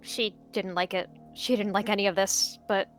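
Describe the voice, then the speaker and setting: A young woman narrating a cat roleplay story in a gentle, reflective way. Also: mid-range voice, fairly even intonation, normal speed, soft background music.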